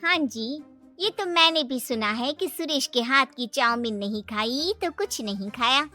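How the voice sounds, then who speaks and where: A woman voicing a moneylender's agreeable middle-aged wife in an animated moral story, questioning in manner. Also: high voice, unhurried, faint music behind, exaggerated voice.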